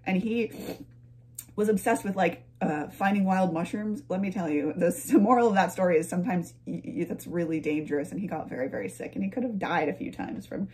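A woman's voice: lowish voice, a little fast, warm tone, slight background noise. A young adult woman telling a personal story to camera in a casual, chatty and playful way, often wandering into asides. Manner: chatty, playful, frequent digressions and chuckles. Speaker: vlogger and storyteller. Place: medium-sized home room, indoors.

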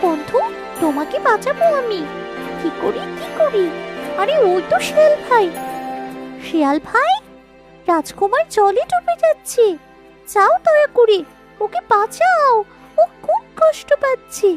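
A woman's voice: very high voice, sing-song, measured pace, pauses often, music in the background, full character voice. A woman voicing a small, loyal talking myna bird in a cartoon, anxious and urgent. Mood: frantic pleading. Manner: urgent, pleading. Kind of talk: cartoon fairy-tale voice acting.

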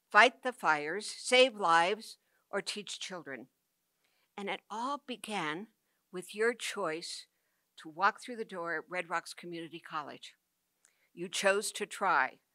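An older woman, a college president, giving an earnest, measured commencement speech from a podium. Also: mid-range voice, sharp tone, no echo. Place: indoor stage with podium. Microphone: podium mic.

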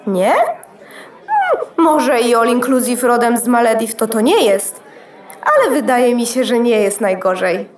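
A polite flustered hostess computer part, effusive, echoey, kids audio drama. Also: female voice, on the high side, expressive, measured pace. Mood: gracious. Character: gracious, flustered female motherboard hostess. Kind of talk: children's audio drama acting. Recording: echoey room.

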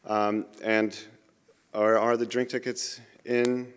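A man acting as emcee, making casual, halting announcements at a conference. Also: on the low side, little pitch movement, clean tone. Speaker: event emcee. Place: large hall, at a lectern. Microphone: podium microphone.

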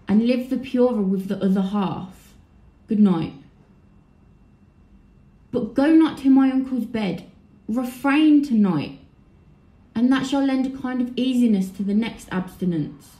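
A woman's voice: a little high, a little slow, long pauses, mellow, lightly acted. A woman playing an accusing, righteous young royal in Shakespearean audio drama, with intense, admonishing verse delivery. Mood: stern pleading. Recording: roomy, echoing home recording.

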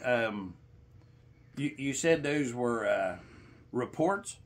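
A middle-aged man argues as a caller with a complaint. His delivery is halting but insistent and tends toward lecturing, at an ordinary male pitch in a small room. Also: expressive. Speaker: caller to a senator's office. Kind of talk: confrontational phone complaint.

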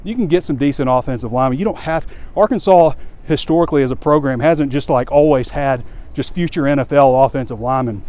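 A man's voice: mid-range voice, quick pace, hardly any pauses, darkish tone. A man in his forties giving frustrated, blunt, rambling walk-and-talk sports commentary into a phone at arm's length. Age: 40s. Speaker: sports reporter and publisher. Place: outdoors, walking around a stadium at night. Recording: outdoor handheld recording with ambient noise.

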